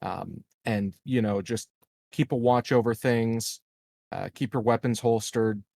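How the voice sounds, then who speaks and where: A man playing a tabletop game, talking casually and planning aloud in a halting, deliberate way. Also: mid-range voice, steady tone, gravelly, darkish tone, dry recording, studio quality.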